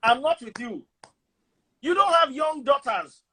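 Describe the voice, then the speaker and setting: A man hosting a live audio chat room, briskly calling guests onto the line. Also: extremely high pitch, expressive, a crowd in the background. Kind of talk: casual live moderating.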